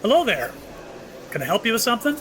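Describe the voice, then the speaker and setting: A man's voice: very high voice, big pitch swings, quick pace, fairly bright tone, slight background noise, exaggerated voice. A man playing a mysterious, ageless shopkeeper in an audio drama, knowing and slyly wry, dropping cryptic, teasing hints. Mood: inviting, wry. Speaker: actor in an audio play.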